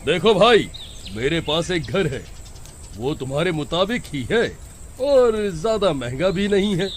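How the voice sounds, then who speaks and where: A man's voice: fairly high pitch, very animated, a little slow, a little hiss or hum. A man voicing a sly, calculating landlord in a cartoon story. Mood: smooth persuasion. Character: sly, scheming male landlord. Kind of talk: cartoon voice acting.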